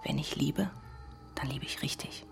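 Casting: young female artist, radio play, low soft voice, deliberate, provocative, faint music beneath. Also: creaky, whispered, full character voice. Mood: tender, bitter. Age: young adult. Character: petite, very young woman artist, posed, tender, bitter. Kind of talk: radio drama dialogue.

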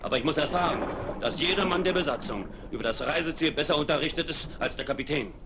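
A man's voice: fairly high pitch, quick pace, lightly acted. A man playing a blunt, stern ship captain. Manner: blunt, formal, serious. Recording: hissy 1970s vinyl radio play.

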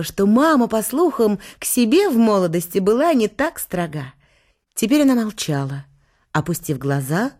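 A woman giving an expressive literary narration as the narrator of a radio drama. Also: mid-range voice.

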